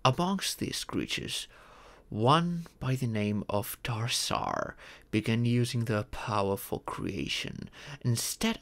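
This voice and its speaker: A man's voice: mid-range voice, gravelly, a bit bright, heavily acted. A man voicing an ancient male dragon, a former king and doting but stern father, speaking formally and patiently in an ASMR roleplay. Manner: formal, patient, fond, instructive. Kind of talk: ASMR roleplay, scripted monologue.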